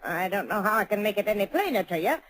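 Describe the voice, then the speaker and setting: A woman's voice: mid-range voice, big pitch swings, fast talker, fairly bright tone. An actress playing a fierce, gun-toting frontier woman miner who talks in a bossy, threatening way in an old-time radio western. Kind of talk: 1950s radio drama acting.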